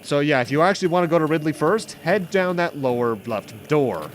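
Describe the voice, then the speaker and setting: A man's voice: on the high side, expressive, fairly quick, a little hiss or hum. A man giving casual, rambling let's play commentary, explaining things and correcting himself as he goes. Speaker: host of a video game playthrough series. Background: game music.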